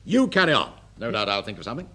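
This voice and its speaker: An actor playing a pompous, flustered and work-shy senior English civil servant in a radio sitcom. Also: male voice, medium pitch, huge pitch swings, rapid-fire. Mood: blustering, complacent. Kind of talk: British radio sitcom acting. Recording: noisy old radio comedy recording.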